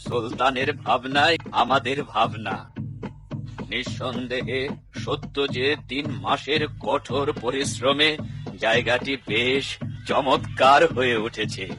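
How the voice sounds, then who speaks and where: A man's voice: mid-range voice, steady tone, slow, music in the background, lightly acted, rough recording. A man voicing a humble, pious master builder in a cartoon dub. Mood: reverent. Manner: humble, reverent.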